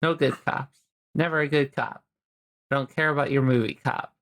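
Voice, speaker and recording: A man's voice: medium pitch, fairly even intonation, normal speed, a bit bright. A man from the United States co-hosting a podcast, talking bluntly and profanely in casual chat. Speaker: podcast co-host.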